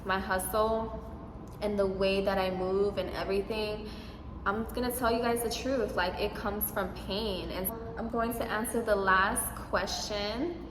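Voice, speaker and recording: A woman's voice: medium pitch, fairly even intonation, a little slow. Young woman, casual vlogger, sassy and emphatic; roomy echoey recording. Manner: sassy, emphatic, talks straight to viewers. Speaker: vlogger giving advice. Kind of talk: casual Q&A vlog talk. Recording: echoey room with noise.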